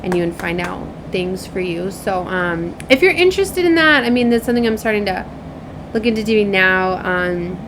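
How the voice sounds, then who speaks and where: A woman's voice: medium pitch, animated, normal speed, background noise. A woman doing a spiritual oracle card reading, moving between reading aloud and rambling, informal asides. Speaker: tarot and oracle card reader. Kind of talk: spiritual card reading, part read aloud, part casual chat.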